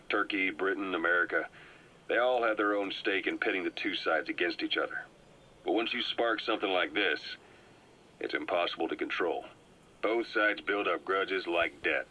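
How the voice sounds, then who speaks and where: An actor playing a calm, well-informed agent who briefs a comrade in a measured, explanatory way. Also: male voice, low voice. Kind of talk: video game cutscene voice acting. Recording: muffled cassette tape audio.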